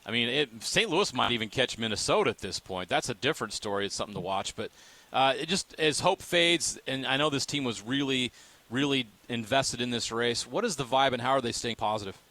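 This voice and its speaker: A man's voice: mid-range voice, animated, brisk, bright, forceful tone. An American man co-hosting sports radio in a casual, conversational style.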